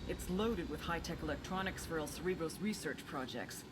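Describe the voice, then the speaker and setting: A man's voice: fairly high pitch, expressive, fairly quick, lightly acted. Man playing a businesslike operative, noisy background. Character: businesslike military operative giving mission briefing. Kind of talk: video game mission briefing. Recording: clear vehicle and ambient noise.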